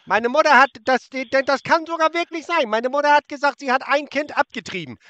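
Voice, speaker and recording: High, bright-voiced man taunting a scammer. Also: brisk, dry recording, dull audio. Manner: taunting, insulting, talks over the other. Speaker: prank caller baiting a scammer. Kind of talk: mocking phone prank.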